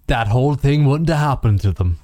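A man's voice: medium pitch, expressive, a little fast, warm tone, no room sound. Wry, informal man with a dry-room sound. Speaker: podcast co-host. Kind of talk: casual movie and game chat.

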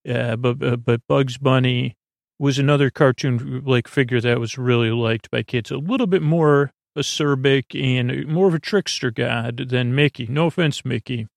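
Creaky-voiced man rambling on a sleep podcast. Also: medium pitch, no echo. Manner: meandering, halting, full of digressions and false starts. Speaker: podcast host.

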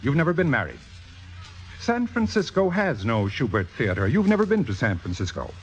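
A man's voice: mid-range voice, wildly swooping pitch, moderate pace. A man playing a cynical, commanding theater critic in a vintage radio drama, with precise, sardonic, possessive delivery. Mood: cold, menacing. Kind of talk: 1950s radio drama acting. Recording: dull vintage radio recording, music behind.

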